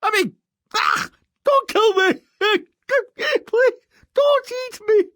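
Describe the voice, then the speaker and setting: A cowardly, callous merchant father from a comic fairy-tale game. The voice is high and very changeable in pitch. He whines with pompous melodrama, recorded dry. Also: lively intonation, extremely slow, sharp tone, no room sound, heavily acted. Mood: cowardly panic. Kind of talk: comic video game cutscene acting.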